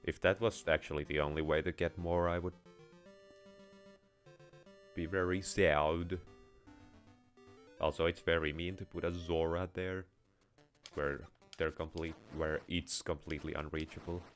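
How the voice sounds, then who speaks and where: A man with an extremely deep voice and a Finnish accent blended with American and a hint of Russian talks over a game. He rambles casually and wryly, swearing now and then. Faint music runs in the background. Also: fairly even intonation, measured pace, pauses often. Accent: Finnish, with American and slight Russian touches. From Finland. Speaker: let's play streamer. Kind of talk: casual gameplay commentary.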